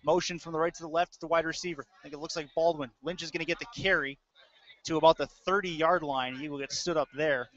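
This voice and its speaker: A man's voice: on the high side, steady tone, quick pace, fairly bright tone. A man doing live play-by-play football commentary, mixing clipped present-tense calls with casual asides. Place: indoor audio-only webcast. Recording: dull lo-fi audio.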